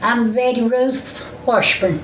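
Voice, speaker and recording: A woman's voice: on the high side, takes it slowly. An elderly woman introduces herself in a folksy, friendly way. She is heard from a distance in an echoey room with faint background noise. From Western North Carolina. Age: 80s. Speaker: interviewee. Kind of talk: oral history interview.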